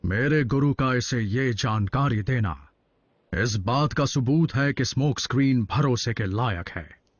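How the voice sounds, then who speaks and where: A grave cartoon robot leader, acted by a man, formal and authoritative. The pitch is medium and the phrasing measured, on a slightly dull lo-fi recording. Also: lightly acted. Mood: calm, commanding. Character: grave, trusting male robot commander. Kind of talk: animated series dub acting. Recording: dull, lo-fi dub audio.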